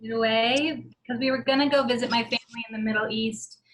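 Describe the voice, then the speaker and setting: A woman's voice: on the high side, fairly even intonation, fairly quick, a bit bright, poor audio. A woman chatting casually and easily as a panel guest on a video call.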